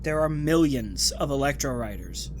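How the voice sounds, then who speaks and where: A man's voice: mid-range voice, animated, normal speed, slight background noise, natural voice. A man playing an anxious, poetic clerk in a dystopian audio drama, with an earnest, theatrical, emotive delivery. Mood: nervous, defensive. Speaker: actor. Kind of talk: audio drama acting, with reflective first-person narration.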